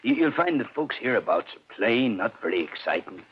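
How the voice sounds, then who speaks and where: A folksy small-town shopkeeper. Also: male voice, medium pitch, very animated, fairly quick, breathy edge. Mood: friendly. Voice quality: chatty, folksy, hesitant. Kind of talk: 1940s radio acting. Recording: muffled old radio recording.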